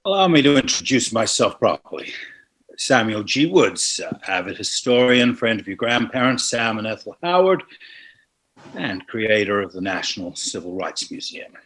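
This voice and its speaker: A man playing a superior, mysterious, well-dressed historian in a screenplay table read, with a husky voice and a measured, formal manner. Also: on the low side, lively intonation, fairly bright tone, poor audio. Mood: courteous.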